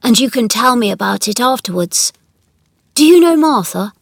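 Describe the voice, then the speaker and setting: A sickly young storybook boy, acted out by a woman narrator, who is imperious and fretful. He speaks in a demanding, self-pitying way, pitched up, with a very dry sound around him. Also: expressive, moderate pace, gravelly, no echo. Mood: eager, shy.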